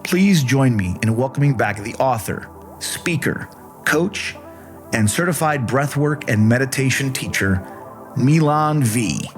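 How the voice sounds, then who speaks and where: A man hosting a virtual event, giving a formal, measured introduction of the next speaker. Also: medium pitch, expressive, soft background music. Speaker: event host.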